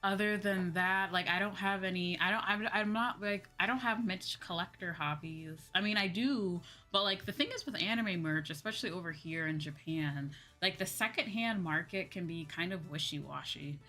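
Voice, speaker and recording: A woman's voice: on the low side, moderate pace, hardly any pauses, bright, forceful tone. A young woman livestreamer chatting casually in a slangy, exclamatory way, like a VTuber talking to her viewers. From United States. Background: background music.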